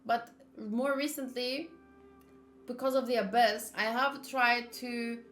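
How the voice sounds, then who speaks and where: A woman's voice: on the high side, fairly even intonation, slow, fairly bright tone, faint music behind. A woman chatting informally on a gaming livestream, reacting aloud as she talks. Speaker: streamer. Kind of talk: casual livestream chat while gaming.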